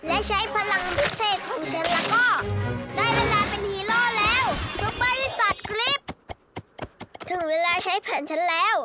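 Woman voicing a plucky high-voiced little boy hero. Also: moderate pace. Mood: determined. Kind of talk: children's cartoon dub acting. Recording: music under the dialogue.

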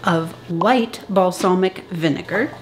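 A woman in her thirties talking to camera in her home kitchen, giving chatty, brisk canning instructions. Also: lowish voice, noisy background. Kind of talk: casual how-to talk to camera. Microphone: camera at close range.

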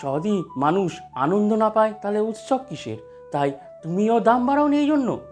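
A man acts an inquisitive market townsman from a cartoon story. The voice is high and very animated in pitch, with emphatic phrasing. Faint music plays behind it. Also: normal speed. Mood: questioning. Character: townspeople and rival vendors, questioning and marvelling.

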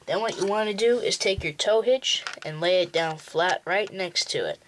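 A man's voice: high voice, fairly bright tone, a little hiss or hum. A young male host giving a plain, step-by-step walkthrough for building a toy model. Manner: slow, plain instructional walkthrough. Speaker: tutorial host.

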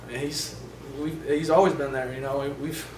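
A young man, a college quarterback at a postgame press conference, answering questions in a halting way with many fillers and restarts. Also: mid-range voice, steady tone. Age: young adult. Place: indoor press room in front of a sponsor backdrop. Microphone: table microphones from a short distance. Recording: roomy, distant sound with faint noise.

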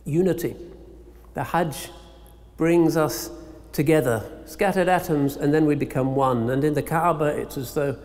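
High-pitched man preaching in a reverberant hall. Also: darkish tone. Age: middle-aged. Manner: measured, solemn, rhetorical short phrases with pauses. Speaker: imam giving a sermon. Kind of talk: Eid sermon. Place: large mosque interior, at a lectern. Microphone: microphone on a stand.

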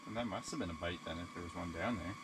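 A man with a lowish voice jokes and teases his friends outdoors on a frozen lake. Loud outdoor noise runs behind him. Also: racing. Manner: joking, teasing. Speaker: fishing friend. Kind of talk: casual chat outdoors. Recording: loud noisy outdoor recording.

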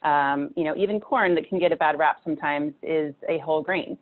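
A woman's voice: sits low, brisk. A woman in her thirties giving a casual nutrition talk over slides, chatty, with many fillers and restarts, from a small room at home. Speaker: nutrition presenter. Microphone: video call webcam. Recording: tinny phone-like video call audio.

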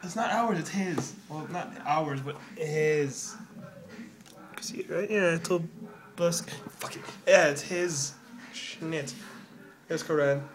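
A young man with a Toronto accent jokes and teases his friend in a casual vlog, his voice sitting a little high for a man. He talks into a phone held at arm's length in a small bedroom. Loud chatter runs underneath him. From Canada. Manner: teasing, joking, talks fast in bursts. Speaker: vlogger. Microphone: phone camera at arm's length.

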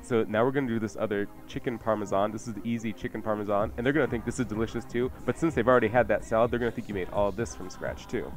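A man's voice: fairly low pitch, fairly even intonation, rapid-fire, hardly any pauses. A man hosting a radio cooking show, chatting informally as he walks through recipes. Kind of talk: conversational recipe presentation. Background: music.

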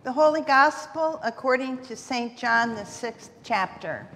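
Older woman reading scripture at a lectern, slow and measured, slightly high voice. Long church reverb, with faint clicks in the background. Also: animated, a bit bright. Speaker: lay reader.

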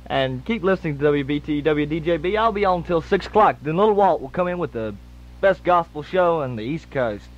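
A man's voice: fairly high pitch, expressive, fairly quick. A man working as a radio DJ, announcing songs, times and station identification live on air. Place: radio studio. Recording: dull lo-fi 1980s radio aircheck tape. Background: music.

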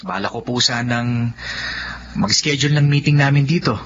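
Actor as a polite, earnest young son. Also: male voice, medium pitch, moderate pace, natural voice. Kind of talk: Filipino radio drama acting. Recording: dull radio recording, faint noise.

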